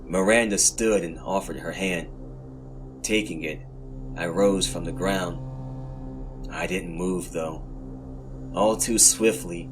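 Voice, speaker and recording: A man narrating first-person horror fiction in a dramatic scripted style, as host of a story podcast. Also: low-pitched. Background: music.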